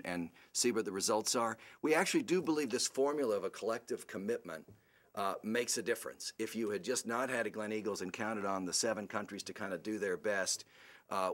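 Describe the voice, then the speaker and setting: A man, an advocacy group's CEO, giving a formal policy speech at a report launch, earnest and halting with many restarts. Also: fairly low pitch, fairly bright tone.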